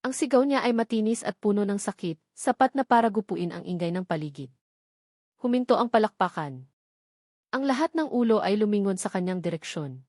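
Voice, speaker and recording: A Filipino woman reads a dramatic story in a scripted narrator's style. Her pitch sits in the middle for a woman. The recording is dry, with very little room around the voice. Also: expressive, a little fast, darkish tone, no echo.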